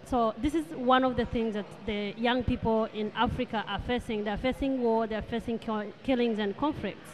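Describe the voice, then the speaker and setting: A woman, a guest on a talk show, answering earnestly in a halting way with pauses. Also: a little high, fairly even intonation, darkish tone, poor audio. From Rwanda. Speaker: guest, charity president. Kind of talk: interview answers.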